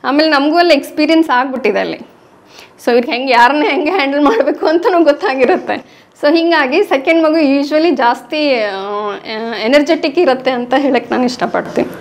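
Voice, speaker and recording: High-voiced teacherly woman, North Karnataka accent. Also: moderate pace. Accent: North Karnataka Kannada. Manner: patient, teacherly, mixing in English words. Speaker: interview guest, prenatal course teacher. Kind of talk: explanatory interview talk.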